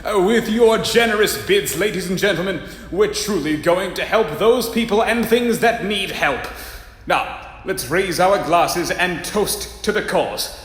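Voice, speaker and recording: Radio-play announcer, man played by an actor: pompous, insincere charity speech, high-pitched, long hall reverb. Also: measured pace, a bit bright. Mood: ceremonious. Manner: ceremonious, pompous. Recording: echoey hall, faint noise.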